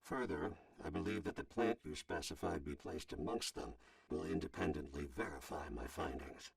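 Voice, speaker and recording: An actor playing a formal, deferential intelligence operative in a video game, with a gravelly, husky voice and stiff delivery. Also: male voice, a bass voice, moderate pace, dry recording, distant mic, heavily acted.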